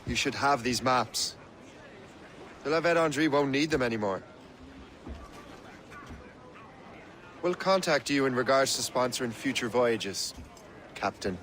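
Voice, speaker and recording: An actor playing a defiant, blunt sea captain turned assassin hunter in a game cutscene. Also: male voice, medium pitch, expressive, normal speed, fairly bright tone, close to an everyday voice. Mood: businesslike. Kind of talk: dramatic game cutscene acting. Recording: clear vehicle and outdoor noise behind.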